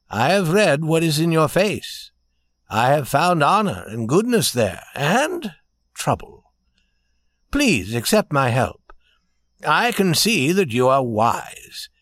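A man's voice: a little high, dry recording, clean studio sound, pitched above the performer's own voice, heavily acted. A man voicing a proud, wise vagabond prince in a storybook, with a lofty and commanding manner. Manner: commanding, lofty, soft-spoken at times.

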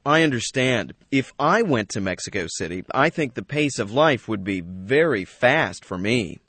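An eager young college student. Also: male voice, mid-range voice, lively intonation, moderate pace, a bit bright, no echo. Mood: friendly. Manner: friendly, eager questions. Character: friendly young male college student from a small town. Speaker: dialogue actor. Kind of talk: scripted radio lesson dialogue.

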